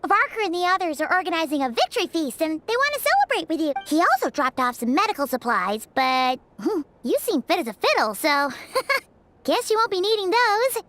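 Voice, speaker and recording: A tiny floating fairy girl in an anime video game, with an extremely high, squeaky, childlike voice. She is excitable, doting and food-loving, swooping all over the scale, with music playing behind her. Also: moderate pace, full character voice. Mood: caring, chatty. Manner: exclaims and refers to herself by name. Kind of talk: English voice acting for anime-style video game.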